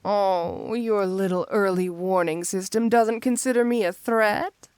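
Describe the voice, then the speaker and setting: Woman acting as a flirty villainess cat burglar, asmr roleplay, unhurried and coy, super dry close sound. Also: medium pitch, darkish tone, clear, resonant tone, dry recording, lightly acted. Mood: teasing, affectionate. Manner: coy, teasing, intimate, unhurried. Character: flirty, teasing female supervillain cat burglar, playfully menacing. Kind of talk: ASMR roleplay addressed to the listener.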